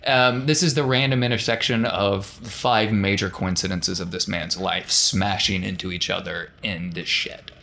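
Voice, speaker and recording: A man's voice: low-pitched, fairly even intonation, moderate pace, gravelly, hardly any pauses, bright, forceful tone. A man improvising narration as game master of a tabletop role-playing game. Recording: faint noise behind voice.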